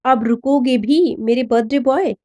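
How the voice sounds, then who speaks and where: A woman's voice: high voice, a little slow, mellow, muffled recording. A woman narrator voicing an anxious, loving teenage schoolgirl in a story. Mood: affectionate. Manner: earnest, affectionate.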